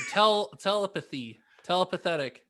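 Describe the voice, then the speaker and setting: A man in casual podcast banter, reacting with drawn-out exclamations. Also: high voice, huge pitch swings, fairly bright tone. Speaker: podcast co-host.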